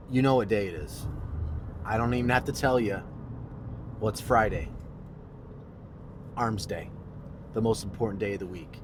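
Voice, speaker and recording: A man's voice: on the low side, noisy background. Nasal man vlogging from his car. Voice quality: nasal, congested. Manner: joking, boastful. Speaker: fitness vlogger. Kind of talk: casual vlog while driving.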